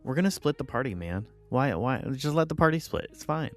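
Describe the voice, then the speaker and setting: Laid-back male player, mid pitch, explains the game with faint music behind. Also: big pitch swings, fairly quick. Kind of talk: casual tabletop game chat.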